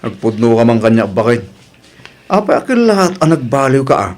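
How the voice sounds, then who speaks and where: A man plays a reasonable village husband worn down by squabbles. His medium-pitched voice jumps around expressively in radio-drama style, with an Ilocano Filipino accent and a plain-spoken manner. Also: very animated, fairly quick, darkish tone, close to an everyday voice. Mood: exasperated.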